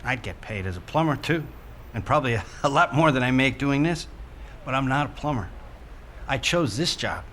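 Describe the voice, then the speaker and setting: A man's voice: medium pitch, expressive, moderate pace, fairly bright tone, slight background noise, natural voice. An actor playing a patient, streetwise negotiator in a naturalistic audio drama, folksy and placating, with some hesitation as he coaxes. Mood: earnest. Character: streetwise, patient male negotiator coaxing someone off a ledge.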